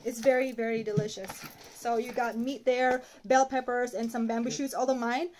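A woman in her thirties talks casually in a high, bright voice while unpacking groceries in a cramped kitchen. She is recorded on a phone camera close by. The sound is noisy and home-made. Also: level tone, moderate pace. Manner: chatty, holds items up to the camera. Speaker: vlogger. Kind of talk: casual chat. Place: small apartment kitchen, indoors. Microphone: phone camera at arm's length.

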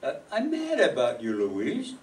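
A man's voice: medium pitch, huge pitch swings, measured pace, darkish tone, slight background noise. A man playing a pushy, lovesick and jealous suitor, melodramatic and pleading, in an amateur radio play. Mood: ardent. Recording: distant mic in meeting room.

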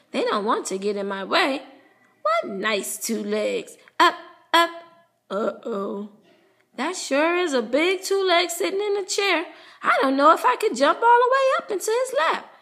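A woman reading a children's story aloud in a playful, animated way, voicing the narration of a puppy. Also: sits high. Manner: playful, animated, with drawn-out sound words.

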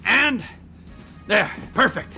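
An actor playing a volatile, crude middle-aged male criminal in a video game, mocking and domineering, swinging from mock-gentle to barking. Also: high-pitched, expressive, slow pace, faint music behind, heavily acted. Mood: businesslike scheming. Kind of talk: video game cutscene acting.

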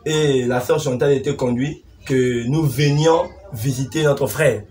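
A man's voice: medium pitch, fairly even intonation, unhurried, darkish tone. A man in his thirties with a Congolese accent giving informal religious exhortation, repeating phrases for emphasis. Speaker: preacher commenting on testimonies. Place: small living room indoors. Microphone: camera microphone from a short distance. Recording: faint background noise, room ambience.

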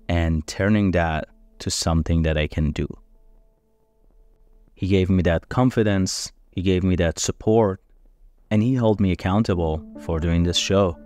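Deep-voiced man, Farsi native, earnest host. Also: darkish tone. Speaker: podcast host. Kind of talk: scripted podcast introduction.

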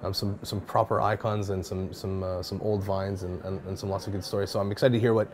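A man in his thirties with a low voice hosts a wine discussion. He talks eagerly, restarting phrases as he goes, in a cellar setting. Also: little pitch movement, fast. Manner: enthusiastic, with restarts. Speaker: host. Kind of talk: casual chat.

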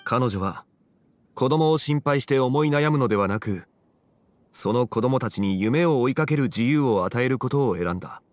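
An actor playing a calm, wise, courteous gentleman in a game drama, speaking in a composed, old-fashioned formal way. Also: medium pitch, moderate pace, no room sound, natural voice. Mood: calm, kindly. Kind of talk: Japanese anime game dialogue.

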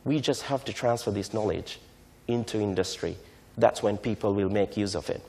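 A man's voice: fairly low pitch, normal speed, creaky, a little mellow. A man in his thirties, originally from Sri Lanka, giving a conference lecture at a podium mic in a large hall, stressing key words and often asking "right?". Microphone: podium microphone.

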